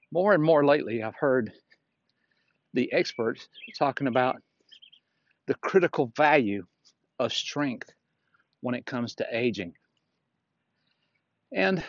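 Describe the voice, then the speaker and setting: A man's voice: medium pitch, dull audio. A man talking casually on a solo podcast as a fitness instructor, explaining things in a plainspoken, frank manner.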